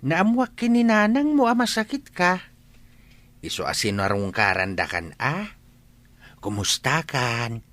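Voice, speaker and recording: A man playing a jolly, obliging village neighbour in a radio drama, animated and reassuring. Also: medium pitch, measured pace, pauses often. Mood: concerned. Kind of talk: Ilocano radio drama acting.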